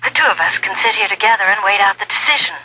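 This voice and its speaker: An actress playing a knowing, resigned club wife through a telephone filter in a 1970s radio drama. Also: female voice, mid-range voice, fast talker, sharp tone, slightly rough, dry recording, rough recording.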